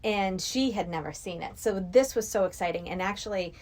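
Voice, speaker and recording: A woman's voice: medium pitch, fairly quick, a little mellow. A middle-aged woman chatting casually as a podcast guest, telling anecdotes and voicing what people said. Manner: anecdotal, acts out quoted dialogue. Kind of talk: casual film discussion.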